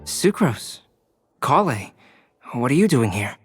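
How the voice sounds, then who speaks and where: Calm young man, game character, medium pitch, polite, faint music. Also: slow pace, slightly rough, exaggerated voice. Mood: composed. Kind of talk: video game character acting.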